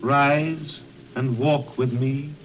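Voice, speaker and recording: Music plays behind a calm, ageless spirit in an old radio play. He speaks very deliberately, solemn and gently commanding, on a dull 1930s recording. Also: medium pitch. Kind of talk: 1930s radio drama acting.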